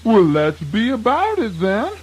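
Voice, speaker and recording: A man voicing a boastful, gullible, easily flustered fox in a children's radio folk tale, with a husky, folksy Southern US delivery. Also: sits high, huge pitch swings, heavily acted. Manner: folksy, chuckling, drawn-out delivery.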